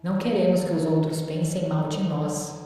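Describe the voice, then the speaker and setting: A woman's voice: low-pitched, fairly even intonation, moderate pace, mellow, heavy reverb, big-room sound, far from the mic, lightly acted. Woman voicing a stern menacing male creature. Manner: cold, lecturing, threatening. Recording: music bed behind voice.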